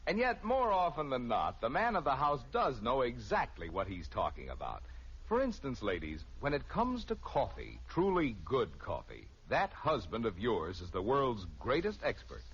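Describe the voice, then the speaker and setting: A man's voice: medium pitch, fairly bright tone, husky. An American man reading a scripted commercial as a mid-century radio announcer. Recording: muffled old radio recording.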